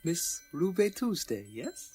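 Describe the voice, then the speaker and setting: A man's voice: high-pitched, big pitch swings, slow pace, warm tone, breathy edge, soft background music, heavily acted. A man playing a chubby little alien antique dealer in a radio play, humble and formal with clipped grammar. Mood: deferential.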